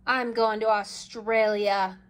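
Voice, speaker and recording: A woman reading a children's story aloud with dramatic, emphatic expression and drawn-out phrases. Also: fairly high pitch, flat delivery, clean tone. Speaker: storybook reader. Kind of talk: expressive children's read-aloud in a child narrator's first person.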